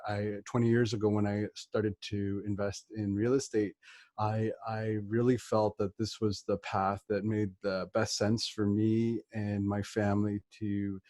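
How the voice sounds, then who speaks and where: A middle-aged man with a Canadian accent talks informally as a guest on a real estate show. His voice is lowish, dark and relaxed, with very little pitch movement. He rambles a little and feels his way through sentences, in a dry, close sound. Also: no echo. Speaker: interview guest, real estate investor and coach. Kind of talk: informal spoken self-introduction in an interview.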